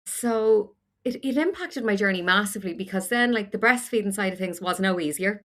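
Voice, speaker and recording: A woman's voice: medium pitch, a little fast, a bit bright. A woman in her forties with an Irish accent, a podcast guest telling her story frankly with self-deprecating humour. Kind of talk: candid storytelling chat.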